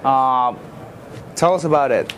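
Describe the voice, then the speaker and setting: A man's voice: mid-range voice, unhurried, a bit bright, a little hiss or hum. A man from Venezuela interviewing a fellow attendee at a conference, with brief encouraging interjections. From Valencia, Venezuela. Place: busy conference venue.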